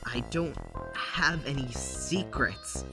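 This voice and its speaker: A man's voice: medium pitch, takes it slowly, over music, lightly acted. A young man acting a shy, defensive nonbinary patient in an audio drama, guarded and hesitant.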